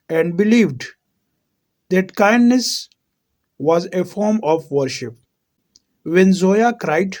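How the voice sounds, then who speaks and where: A man with a high-pitched voice reads a scripted emotional story. His delivery is very slow and solemn, with deliberate dramatic pauses between short phrases. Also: darkish tone.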